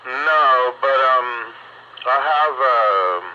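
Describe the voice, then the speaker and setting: A man's voice: medium pitch, extremely slow. A man chatting casually over hobby radio, using folksy radio lingo. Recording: dull, very noisy radio transmission. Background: loud radio noise.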